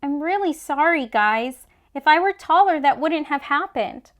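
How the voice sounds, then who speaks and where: A woman's voice: sits high, animated, unhurried, voice pushed up. A woman voicing an earnest, eager young boy in a storybook. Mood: apologetic. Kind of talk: storybook character voice.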